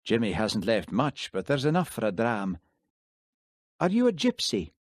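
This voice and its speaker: A man playing a shrewd, easygoing Highland constable, dry and understated. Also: huge pitch swings, moderate pace, dry recording.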